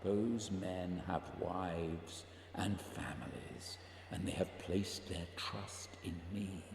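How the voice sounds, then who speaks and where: A man's voice: sits low, slow pace, gravelly, darkish tone, exaggerated voice. A man acting in a radio play as a brooding, burdened leader of a polar expedition, grave and introspective. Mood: haunted, grave. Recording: echoey reverberant room, faint noise.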